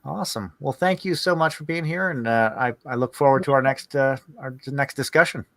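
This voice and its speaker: A man hosting a podcast in casual conversation, agreeable and somewhat halting as he forms his thoughts. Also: mid-range voice, gravelly, fairly bright tone, dry recording, studio quality. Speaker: podcast host.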